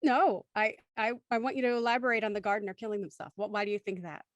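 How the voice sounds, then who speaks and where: A woman's voice: medium pitch, fast talker, dry recording. A woman hosting an online show, chatting and introducing a cast with bubbly enthusiasm. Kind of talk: livestream hosting, chatty banter.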